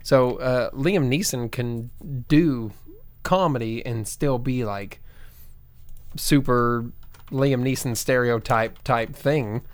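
A man's voice: medium pitch, slow, no room sound. A man co-hosting a casual chat, laid-back and full of fillers. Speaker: podcast co-host.

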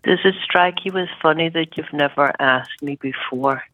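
A woman's voice: deep voice, normal speed, no echo, narrow, tinny audio. A woman playing a weary, resentful ancient phoenix familiar in a comedy sketch, aggrieved and plaintive. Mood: weary, bitter. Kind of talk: improvised comedy audio sketch.

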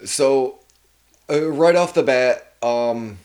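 A man's voice: mid-range voice, slow pace, a little mellow. Profane, rambling male podcast host. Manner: rambling, profane, enthusiastic, with hesitant fillers. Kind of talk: casual podcast chat.

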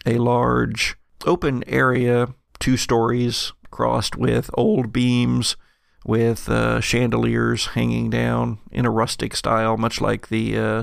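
A man narrating a tabletop game as game master, describing scenes in an improvised, halting way. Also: mid-range voice, creaky, no room sound, clean studio sound.

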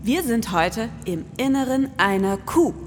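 A woman's voice: on the high side, expressive, a little slow, slight background noise. A woman in her forties co-hosting a scripted, performative podcast with an explanatory delivery. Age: 40s. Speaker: podcast co-host. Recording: long reverb, music underneath.

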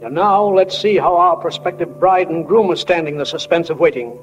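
An actor playing a homespun, plainspoken small-town stage manager who narrates a 1940s radio drama. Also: male voice, a little high, moderate pace, natural voice. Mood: folksy musing. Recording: dull 1940 radio recording, faint music.

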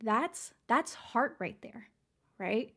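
Young woman podcast host, somewhat high voice gone raspy, chatty and upbeat, talks to listeners like friends. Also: animated, drawn-out words, a bit bright. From San Antonio, Texas. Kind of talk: casual motivational podcast talk.

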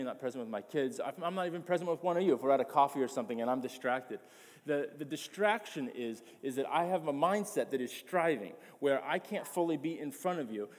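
A man delivers a sermon with rising conviction, stacking phrases and repeating words for emphasis. His voice sits a touch high for a man. A long reverb trails behind him in a large church hall. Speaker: pastor.

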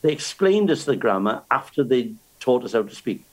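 A man's voice: medium pitch, very animated, normal speed, low-quality recording. An older man, chatting casually and telling stories about learning the language. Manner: storytelling, with restarts. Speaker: guest. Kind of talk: casual chat.

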